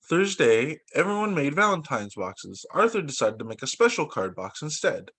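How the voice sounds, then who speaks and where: A man reading picture books aloud as a teacher, in an animated, emphatic way meant for young children. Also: mid-range voice. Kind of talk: expressive children's story read-aloud.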